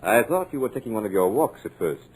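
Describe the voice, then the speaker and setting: Sinister producer, suave, commanding, low husky voice, lively pitch. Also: male voice, fast, low-quality recording. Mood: smooth. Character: smooth, sinister radio producer, middle-aged man. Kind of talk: 1940s radio acting.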